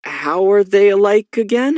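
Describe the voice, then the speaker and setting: A man voicing a young adventurer hero in a video game, earnest and reflective with a gently wry manner. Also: high-pitched, lively intonation, a little slow, no echo, clean studio sound. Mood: skeptical, wry. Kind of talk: video game character voice lines.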